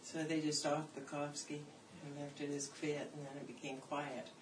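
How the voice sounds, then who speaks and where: An older woman from Canada shares family memories in casual conversation. She tells them with animation, repeating phrases for weight, at a medium pitch. She sounds set back from the microphone in an echoey room with clear background noise. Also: little pitch movement, moderate pace, distant mic. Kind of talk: casual reminiscing about family history.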